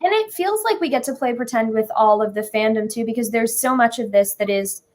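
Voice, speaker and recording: A woman's voice: on the high side, expressive, fairly quick, a little mellow. A young American woman chatting informally and enthusiastically as an interview guest. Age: young adult. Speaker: interview guest, musical creator. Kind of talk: casual chat.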